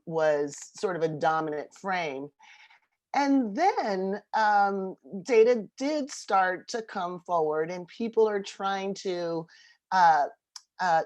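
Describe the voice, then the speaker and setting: A woman hosting a panel discussion, speaking haltingly and deliberately as she frames a thoughtful question. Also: lowish voice, a little mellow, no echo. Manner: halting, deliberate, searching for words. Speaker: panel host. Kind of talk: reflective interview question.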